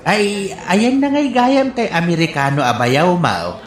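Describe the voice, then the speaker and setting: A woman's voice: fairly low pitch, expressive, unhurried. A woman playing a nosy, wheedling middle-aged uncle in a comedy radio drama. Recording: roomy echo.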